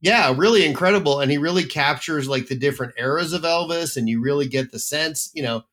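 A man talking through a film review in a loose, casual back-and-forth. His voice sits a little higher than usual for a man. He states his opinions bluntly and with emphasis. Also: fairly quick, a bit bright. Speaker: film critic co-host.

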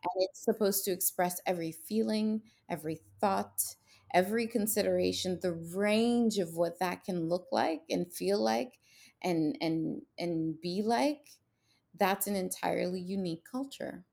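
A woman's voice: fairly low pitch, measured pace, gravelly, darkish tone. A woman whose first language is Haitian Creole, co-hosting a podcast and talking with passion and emphasis. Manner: passionate, emphatic, rhetorical questions. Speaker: podcast co-host. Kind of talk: casual podcast conversation.

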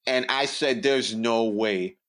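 A man's voice: mid-range voice, slow pace, fairly bright tone, a little hiss or hum. A young man, telling stories bluntly and building up jokes on a podcast. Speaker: podcast co-host. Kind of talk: casual storytelling chat.

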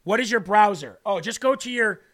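A man with a raspy voice hosting a faith livestream, preaching and reading viewer comments aloud, often repeating phrases for emphasis. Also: sits high, expressive, fairly quick, a bit bright. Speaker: host of a faith livestream show.

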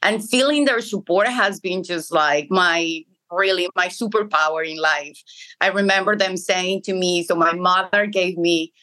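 A woman's voice: on the low side, a little slow, pushed and bright, rough recording. A woman with a Colombian accent, a Spanish speaker by birth, answering interview questions earnestly and often restarting her phrases. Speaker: interview guest. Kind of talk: conversational interview.

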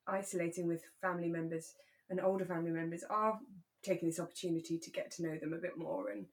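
A woman's voice: lowish voice, steady tone, fast. A British woman in her thirties chatting casually and animatedly as a podcast co-host. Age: 30s. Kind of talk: relaxed casual chat about a radio drama.

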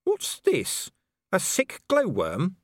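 A man voicing a gruff, exasperated middle-aged commander who talks bluntly and indignantly in an audiobook. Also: high voice, wildly swooping pitch, slow, no echo, clean studio sound, pitched above the performer's own voice, heavily acted. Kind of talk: audiobook character voice.

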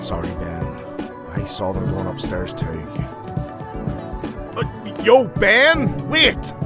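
Casting: easygoing young guy, hesitant but lively intonation, casual, loud music behind him. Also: sits low, slow pace, heavily acted. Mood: awkward, concerned. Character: easygoing young male party friend, concerned. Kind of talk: audio drama acting.

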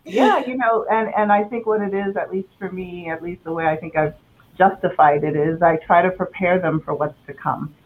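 A woman's voice: on the low side, normal speed, a little hiss or hum. Woman on a tinny remote line. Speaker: podcast panelist. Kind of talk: casual panel discussion. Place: remote from home, New York.

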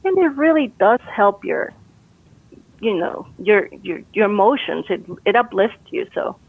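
A woman's voice: mid-range voice, big pitch swings, a little slow, gravelly. Upbeat woman on a tinny phone line. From Costa Rica. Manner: upbeat, encouraging, laughs easily. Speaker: brain health expert guest. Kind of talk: casual interview advice.

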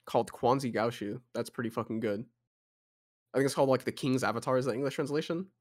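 A young man talks quickly at a middle pitch as a podcast co-host. He rambles through his points and swears a lot. His manner is loose and casual. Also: darkish tone. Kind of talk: casual chat.

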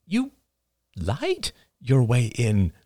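A man's voice: medium pitch, huge pitch swings, drawn-out words, dark tone, no echo, studio quality, pitched above the performer's own voice, full character voice. A man playing a protective, stubborn brother-in-law in an audiobook, urgent but restrained and earnest. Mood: urgent, disapproving.

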